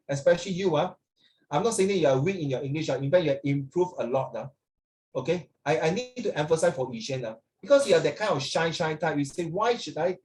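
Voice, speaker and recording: A man's voice: a little high, a little mellow, rough recording. A man from Singapore with a Singaporean accent teaching children in an online lesson, often checking with "right" and "okay". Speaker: English teacher. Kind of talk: online lesson to children, explaining over a video. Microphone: video call.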